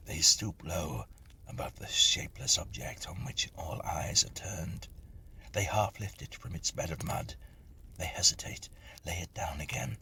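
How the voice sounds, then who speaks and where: Deep, gravelly-voiced man narrating a ghost story. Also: sharp tone. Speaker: reader and narrator of ghost stories. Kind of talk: scripted dramatic narration of a Victorian ghost story.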